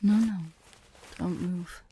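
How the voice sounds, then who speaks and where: A woman plays a proud, wistful she-dragon guarding her hoard in an ASMR roleplay. Her voice is mellow and slightly low, and she speaks very slowly in formal, measured phrases that sink into breathy hush. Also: fairly even intonation, exaggerated voice. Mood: intense, brooding. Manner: formal, measured storytelling with breathy hushed drops. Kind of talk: ASMR roleplay with slow myth storytelling.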